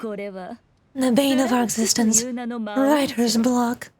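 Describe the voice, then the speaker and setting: A woman's voice: a little high, steady tone, slow pace, crowd noise. A woman playing a sly, composed, teasing fox-shrine lady in a video game, with a theatrical, self-assured delivery. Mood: wry, composed. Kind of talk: video game character dialogue. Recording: background music under game dialogue.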